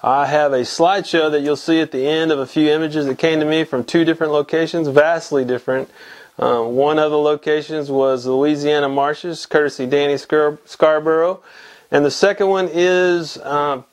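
A man's voice: fairly high pitch, fairly even intonation, moderate pace. A man in his fifties from Texas, hosting a casual weekly fly fishing report from his small home fly shop, talking to a camera at desk distance with lots of fillers and restarts. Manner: rambling, many restarts and fillers. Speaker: fly fishing show host. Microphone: camera mic at desk distance.

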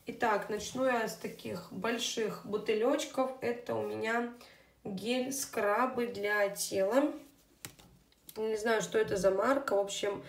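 A young woman who has a child, chatting casually through her beauty products, her voice a little off. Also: a little high. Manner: chatty, unhurried, with fillers. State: voice somewhat unwell. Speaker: beauty vlogger. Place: indoors at a table, hands on camera. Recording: echoey room.

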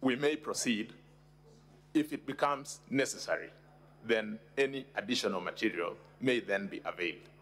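Deep-voiced Kenyan counsel in echoing chamber. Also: male voice, fairly bright tone, husky. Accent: Kenyan English. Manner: measured, deferential, formal. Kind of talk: formal legal submission. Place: large parliamentary chamber. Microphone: desk microphone. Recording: long hall reverb.

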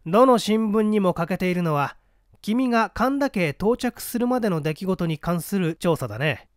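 A man voicing a condescending, animated scholar-detective. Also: high voice, normal speed, no room sound, studio quality. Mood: methodical, lecturing. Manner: lecturing, slightly pompous. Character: shrewd, condescending male scholar-detective, methodical and talkative. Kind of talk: dramatic storybook reading of a mystery.

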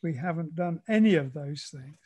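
High-voiced older man in conversation. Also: expressive, moderate pace, warm tone, breathy edge. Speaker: conversation partner. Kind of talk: casual conversation.

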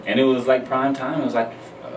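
American man, casual guest chat, halting delivery, mid pitch, roomy and slightly distant. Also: flat delivery, a loud crowd. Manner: halting, repeats "like". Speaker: guest, music artist. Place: small radio studio with foam panels. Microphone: studio microphone on boom arm. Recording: echoey room, off-mic, faint noise.

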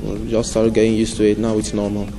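A man's voice: fairly low pitch, completely flat delivery, fast talker, mellow, faint music behind. A young man answering interview questions indoors in an easygoing, modest way. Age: young adult. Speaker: interviewee, footballer's son. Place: indoor room.

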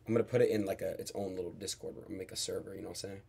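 A man's voice: deep voice, fairly even intonation, very fast, creaky, mellow, breathy edge. A young man in his twenties livestreaming from a bedroom, talking restlessly to his chat and friends with lots of swearing. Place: bedroom in a house.

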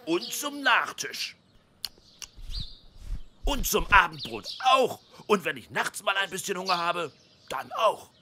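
Cartoon bug fellow, extremely sharp tone, lively, self-satisfied, emphatic. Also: female voice, on the low side, takes it slowly, pauses often, slight background noise, exaggerated voice. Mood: boastful. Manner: boastful, emphatic. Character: smug, dung-loving little male beetle. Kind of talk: children's animated audio-story acting.

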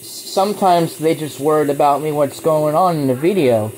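A man's voice: a little high, normal speed, darkish tone. Rambling man with a scratchy voice. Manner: rambling, repeats and restarts phrases, talks while handling the phone camera. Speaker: vlogger filming his washing machine. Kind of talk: casual chat to viewers. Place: home laundry room, indoors. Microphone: phone held in hand. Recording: noisy phone recording beside a running washer. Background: washing machine running and humming.

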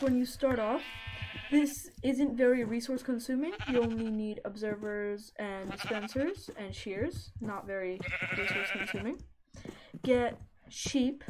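A woman with a somewhat high-pitched voice talks through a game build in a relaxed, chatty tutorial manner. She chats with the animals on screen as she goes. Clear noise and game sounds sit under her voice. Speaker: Minecraft let's play creator. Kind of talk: casual gameplay tutorial narration.

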